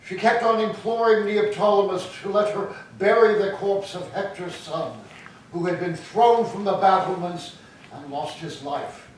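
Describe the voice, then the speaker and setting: Guy playing sad herald in greek tragedy, halting formal delivery, far from mic, bit of noise. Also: high-pitched. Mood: solemn, sorrowful. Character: sorrowful male Greek herald bringing grim news. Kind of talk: staged reading of Greek tragedy. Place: church, staged reading. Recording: very echoey hall, distant mic, some noise.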